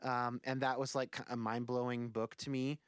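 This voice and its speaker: A man's voice: mid-range voice, little pitch movement, normal speed, clear, resonant tone, no room sound, studio quality. A man in his forties, a musician guest on a podcast, talking casually in a rambling, thoughtful way with many fillers. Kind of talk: casual conversation.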